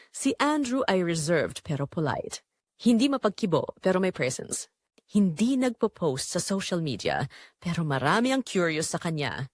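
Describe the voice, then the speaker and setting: A woman with a Filipino accent narrating a scripted romance story in an expressive, measured way with dramatic pauses. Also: on the low side, dry recording, studio quality.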